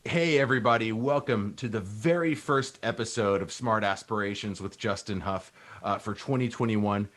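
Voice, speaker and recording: Male podcast host, lowish pitch, casual conversational interview style, informal slangy phrasing. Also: normal speed, fairly bright tone. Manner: informal, slangy, mild swearing.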